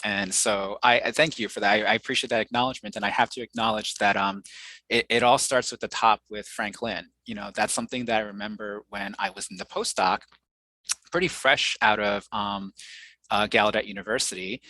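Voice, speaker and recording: A man whose first language is Mandarin talks in a low, bright, forceful voice, thoughtful and measured. He often pauses and fills gaps as he works through his points. The sound is dry and close, with no room echo. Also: steady tone. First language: Mandarin Chinese. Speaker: guest, audiologist and researcher. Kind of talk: conversational podcast interview.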